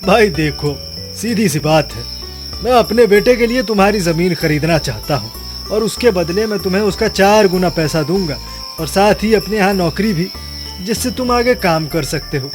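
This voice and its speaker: Man playing a high-pitched scheming village headman. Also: animated, moderate pace, music in the background. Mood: coaxing. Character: scheming persuasive wealthy male village headman. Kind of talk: animated moral bedtime story.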